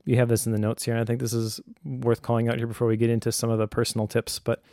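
A man co-hosting a conversational advice podcast in a measured, reflective way. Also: medium pitch, monotone, warm tone, no echo, studio quality. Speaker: podcast co-host.

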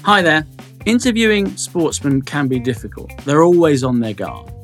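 A man's voice: on the high side, animated, normal speed, faint music behind. A man hosting a podcast, reading a scripted intro and asking direct, probing interview questions.

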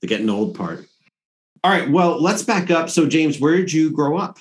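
A man's voice: on the high side, big pitch swings, moderate pace. A middle-aged man hosting an interview, speaking in an informal, rambling conversational way. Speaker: interviewer, host. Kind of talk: casual chat.

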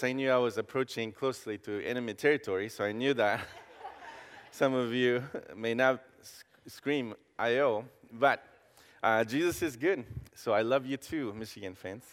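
A man in his thirties with a Costa Rican accent gives a casual, good-humored sermon. His talk is full of little pauses and restarts. His voice sits at an ordinary middle pitch and carries a long reverb from the church hall. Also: clean tone. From Costa Rica. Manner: friendly and joking, frequent hesitations. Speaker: guest campus pastor. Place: church sanctuary.

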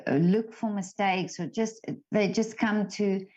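A woman's voice: medium pitch, steady tone, unhurried. Hesitant, agreeable woman interviewer, lo-fi. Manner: warm agreeing, hesitant with many "um" and "you know". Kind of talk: casual interview talk. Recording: dull lo-fi recording.

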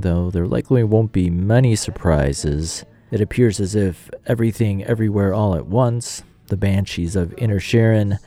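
A man's voice: sits low, warm tone. An American man hosting a radio arts program, reading scripted news and event details. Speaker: radio host.

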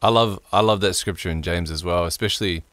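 A young man with a very low voice and an accent that blends New Zealand and American speech. He hosts a podcast, relaxed and quick to laugh, and often restarts his sentences. The sound is dry and close, with no room around it. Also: no echo. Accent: mixed New Zealand and American. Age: young adult. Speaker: podcast host. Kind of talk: casual podcast chat.

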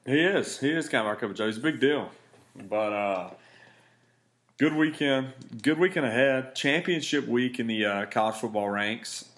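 A man hosting a casual betting podcast, speaking in a laid-back, rambling way with a raspy voice. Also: mid-range voice, lively intonation, normal speed, a bit bright. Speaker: podcast host.

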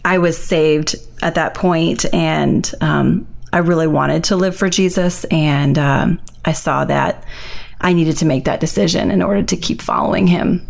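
A woman from New York sharing her faith story as an interview guest, hesitant and full of pauses. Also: deep voice, gravelly. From New York, USA. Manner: hesitant, with frequent ums and pauses.

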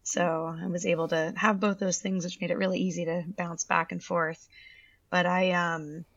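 A woman in her thirties from Canada tells her story with chatty enthusiasm, her voice a little low and gravelly at times. The sound is dry and close, with a slightly dull, lo-fi band. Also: little pitch movement, normal speed, fairly bright tone, no room sound. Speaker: pediatric orthopedic surgeon. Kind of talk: interview answers.

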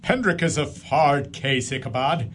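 A man's voice: on the high side, expressive, a little hiss or hum, natural voice. An actor playing a genial, wealthy older landowner who welcomes guests at his feast in a community radio drama. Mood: hearty. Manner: hearty, measured, welcoming. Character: genial wealthy older male Dutch landowner, host father.

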